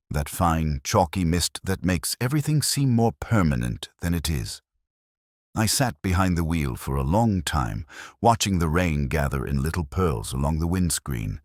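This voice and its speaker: A man narrating a first-person detective story in a measured, brooding, literary way. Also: very deep voice, no echo, clean studio sound.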